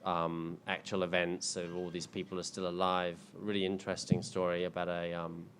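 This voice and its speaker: A man's voice: deep voice, monotone, normal speed, fairly bright tone, a little hiss or hum. A man in his thirties, a filmmaker answering audience questions in a casual, rambling way, full of ums and restarts. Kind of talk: casual panel Q&A. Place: indoor screening event.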